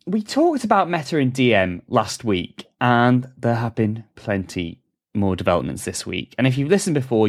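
Man, podcast host, animated intonation, dry jokes, news read. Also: on the low side. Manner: wry, joking, shifts into a scripted news read. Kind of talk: casual podcast chat and news reading.

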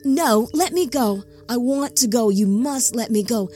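A woman's voice: medium pitch, normal speed, faint music behind, natural voice. A woman voicing a frightened young man who pleads urgently with his captors. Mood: desperate.

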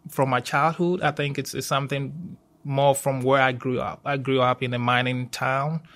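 A man with a Ghanaian accent giving conversational interview answers, in a halting way that often repeats and rephrases. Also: medium pitch, little pitch movement, a bit bright. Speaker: university safety professional, interview guest.

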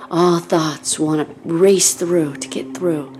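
Slow, low, hushed woman guiding meditation. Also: fairly even intonation, slight background noise. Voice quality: soft, breathy, often near a whisper. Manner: soothing, gentle, unhurried, with long pauses. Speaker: meditation guide. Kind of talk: guided meditation, body scan narration.

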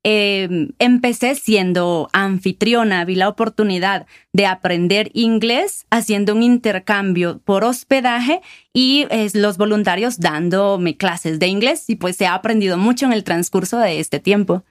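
Invitada guatemalteca, de acento guatemalteco, que habla de manera pausada y reflexiva, con titubeos ocasionales. La grabación es seca, sin reverberación. Su voz se oye cercana al micrófono. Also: female voice, mid-range voice, studio quality. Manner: measured, with hesitation fillers. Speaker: guest, sales manager. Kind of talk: interview answers.

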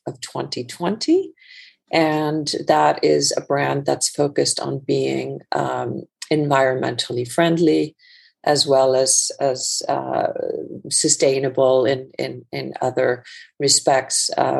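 Woman from iceland, 40s, low gravelly voice, keeps restarting words as she thinks. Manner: many restarts and repeated words, thoughtful. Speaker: entrepreneur guest. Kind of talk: conversational interview.

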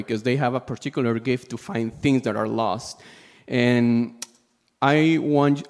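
Peruvian preacher in a reverberant hall. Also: male voice, medium pitch, darkish tone. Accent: Peruvian Spanish. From Peru. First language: Spanish. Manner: halting, searching for words in a second language. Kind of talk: sermon.

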